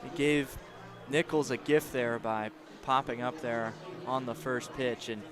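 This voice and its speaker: A man's voice: medium pitch, fairly even intonation, unhurried, over music. A man giving analytical, critical baseball color commentary in a conversational way.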